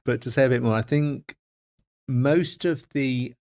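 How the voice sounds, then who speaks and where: Thoughtful academic man, halting delivery. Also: mid-range voice, fairly even intonation, darkish tone. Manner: hesitant, thoughtful, repeats words while thinking. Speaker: podcast co-host, forensic linguist. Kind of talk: academic podcast discussion.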